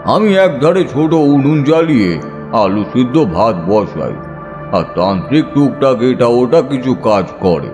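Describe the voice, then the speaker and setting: Expressive man narrating over music. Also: medium pitch. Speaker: audiobook narrator. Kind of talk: dramatic first-person story narration. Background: background music.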